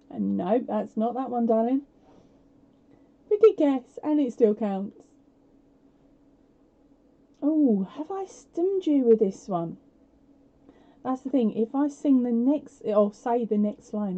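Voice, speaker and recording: A woman hosting a live prize quiz on her stream, chatty and self-deprecating with lots of fillers, her voice a little croaky. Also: a little high, expressive, measured pace, long pauses, dark tone. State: slightly croaky voice. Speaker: podcast host running a live prize quiz. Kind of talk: casual live-stream chat. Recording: dull lo-fi home stream audio.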